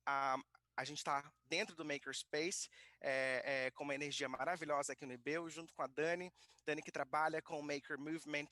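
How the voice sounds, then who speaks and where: Guy hosting a live workshop, bright lively voice, dry close sound. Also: a little high, no echo. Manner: formal introductions, reads a guest bio. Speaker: workshop host. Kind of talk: hosting a live online workshop in Portuguese and English. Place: makerspace.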